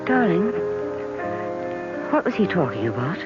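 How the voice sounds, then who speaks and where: Radio drama: worried, insistent fiancée, swooping expressive delivery, muffled broadcast with music. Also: female voice, lowish voice, unhurried. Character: anxious, devoted fiancée, insistent and perceptive. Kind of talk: old-time radio drama acting.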